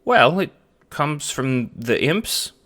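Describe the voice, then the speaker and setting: A man voicing an eager, nervous, childlike cat sprite in an audio drama, hesitant and excitable. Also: fairly high pitch, takes it slowly, pitched above the performer's own voice, full character voice. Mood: anxious.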